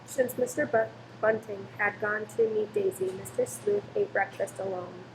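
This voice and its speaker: A woman's voice: medium pitch, sing-song, moderate pace, vocal fry. A woman reading dramatic scripted narration for a radio play. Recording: echoey room, faint noise.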